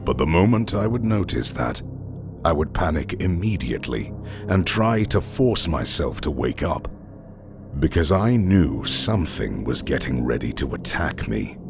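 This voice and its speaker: A man reading scripted horror stories as a narrator, in a deliberate, suspenseful way with drawn-out phrase endings. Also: rumbling low, sing-song, gravelly. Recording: music under the voice. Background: background music.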